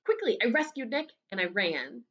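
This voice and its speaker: A woman's voice: a little high, normal speed, muffled recording. A woman voicing an earnest young schoolboy telling his own story expressively, in a storybook read-aloud for children. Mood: excited. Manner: expressive, dramatic.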